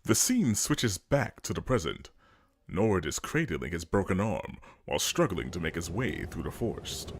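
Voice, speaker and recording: Husky, deep-voiced male narrator. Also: sing-song, moderate pace. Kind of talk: scripted scene narration for a script read.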